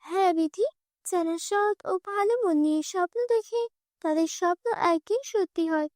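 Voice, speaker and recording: A very high, dry-recorded fairy voice, acted by a woman for a children's cartoon. She is gentle and reassuring, a magical being who explains things kindly. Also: normal speed, dry recording.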